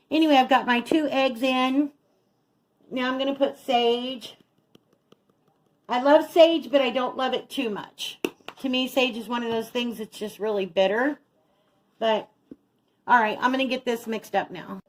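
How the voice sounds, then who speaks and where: A woman's voice: sits high, steady tone, normal speed. A middle-aged woman chatting casually as she narrates each step of her home cooking as a vlog host. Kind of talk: casual chat while cooking. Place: home kitchen.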